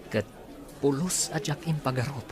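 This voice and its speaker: A man acting a humble, earnest lover in an audio drama. He has a mid-pitched male voice and speaks as a grateful, devoted man. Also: normal speed, crowd noise, close to an everyday voice. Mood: hopeful. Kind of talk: Filipino radio-style drama dialogue.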